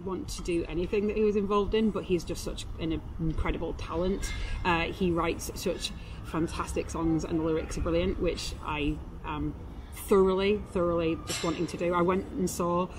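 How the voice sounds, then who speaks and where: A woman's voice: fairly low pitch, steady tone, normal speed, few pauses, noisy background. A woman from Yorkshire chatting enthusiastically about auditioning, laughing often. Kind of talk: casual interview chat. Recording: crowd chatter behind.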